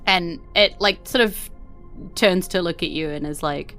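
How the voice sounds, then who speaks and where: A woman's voice: fairly low pitch, measured pace, a bit bright, soft background music. A woman with a New Zealand accent improvising casual, conversational narration as a tabletop game master. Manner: informal, conversational.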